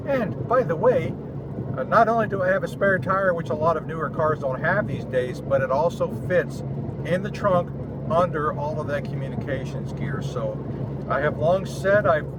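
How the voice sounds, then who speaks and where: Clear car and outdoor noise surrounds a man's slightly high-pitched voice. He speaks casually to his vlog audience from inside a vehicle. His explanations come in short, chatty phrases with pauses between them. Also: lively intonation. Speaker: vlogger and amateur radio operator. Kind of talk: casual vlog talk to camera. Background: car and outdoor ambience noise.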